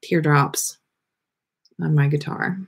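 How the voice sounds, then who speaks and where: A woman's voice: low-pitched, fairly even intonation, takes it slowly, creaky, slightly rough. A woman hosting a casual livestream, chatting with viewers in a playful, rambling way as she thinks aloud. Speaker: livestream podcast host. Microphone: webcam livestream setup.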